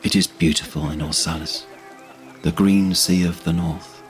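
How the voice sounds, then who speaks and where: A man narrating scripted fantasy as a storyteller in a solemn, measured manner. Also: low-pitched, steady tone, faint music behind.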